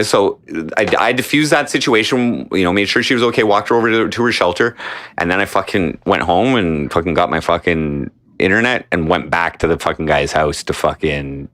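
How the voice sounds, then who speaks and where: Low-voiced guy rambling thru a story, swears constantly, keeps restarting. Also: fairly bright tone. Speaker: streamer guest. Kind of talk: casual podcast chat.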